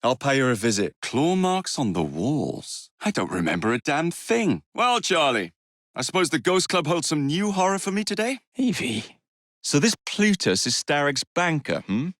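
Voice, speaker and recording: A young English rogue from a Victorian action game, cocky and quick-witted. His delivery is flippant and theatrical, with animated rises and falls in pitch. The sound is dry and studio-clean, with no room around it. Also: no echo. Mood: dry, wry. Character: cocky, roguish young male Victorian gang leader, witty and brash. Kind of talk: video game character voice lines.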